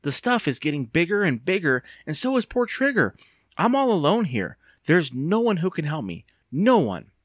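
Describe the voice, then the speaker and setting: A man's voice: mid-range voice, sing-song, a little fast, hardly any pauses, a bit bright, no room sound, studio quality. A man voicing an anxious, impatient young boy in an audiobook, with a whiny, sarcastic, exclaiming manner. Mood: wailing, despairing. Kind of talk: audiobook character voice.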